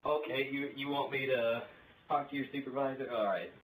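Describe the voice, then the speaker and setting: A man's voice: mid-range voice, steady tone, brisk. A young man making a casual prank phone call, rambling crudely in a hesitant way. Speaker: video creator making prank calls. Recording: roomy, distant mic with background noise.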